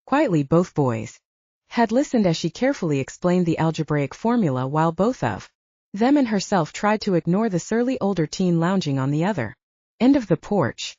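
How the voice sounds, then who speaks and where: A woman's voice: on the low side, very animated, moderate pace, dark tone, no room sound. A woman reading scripted fiction as an audiobook narrator, in a slightly dull recording.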